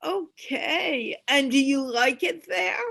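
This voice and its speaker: A woman with a high voice hosts an online meetup over a video call. She speaks at an unhurried pace, putting questions to guests in a conversational way. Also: creaky, fairly bright tone. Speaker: host.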